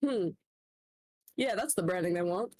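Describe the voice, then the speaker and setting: A woman's voice: on the low side, lively intonation, moderate pace, dark tone, no echo, studio quality. A woman in a casual voice-call chat who swears freely, teases and laughs a lot.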